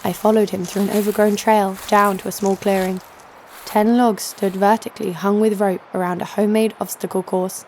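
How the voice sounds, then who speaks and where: A woman's voice: medium pitch, level tone, normal speed, darkish tone, slight background noise, lightly acted. A woman playing a hot-tempered young female fighter in an audio drama, blunt and sarcastic. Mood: wry, storytelling. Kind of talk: first-person audio drama narration and dialogue.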